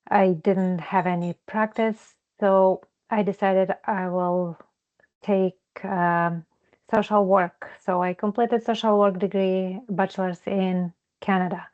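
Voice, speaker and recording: A woman from Ukraine introducing herself to a group over a video call, halting and searching for words. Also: medium pitch, fairly even intonation, darkish tone. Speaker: counseling student. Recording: dull lo-fi video call audio.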